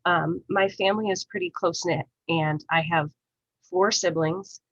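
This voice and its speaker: A woman with a low voice speaks on a panel, hesitant and earnest, often pausing on fillers. The sound is dry and close. It comes through muffled, lo-fi video call audio. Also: fairly even intonation, a bit bright, husky, no echo. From Rochester area, USA. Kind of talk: personal storytelling in a panel conversation.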